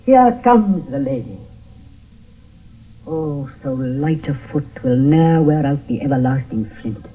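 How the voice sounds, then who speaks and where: A man's voice: on the high side, expressive, slow pace. A man playing a character in a Shakespeare tragedy on radio, speaking verse in a declamatory, poetic way. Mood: earnest. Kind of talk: 1970s radio Shakespeare acting. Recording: muffled phone-like vintage radio broadcast, distant.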